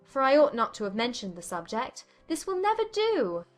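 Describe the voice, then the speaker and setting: A woman playing a witty, spirited young gentlewoman in a dramatized period romance audiobook, with a playful, articulate manner. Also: on the high side, huge pitch swings, normal speed, soft background music. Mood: playful, teasing.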